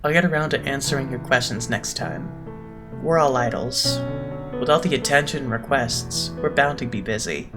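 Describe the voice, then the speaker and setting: A man's voice: mid-range voice, music in the background, close to an everyday voice. Man playing a composed, lofty young idol leader. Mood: lofty, measured. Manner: measured, pompous, smoothly condescending. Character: composed, eloquent young male idol leader, loftily polite. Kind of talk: anime-style fandub voice acting.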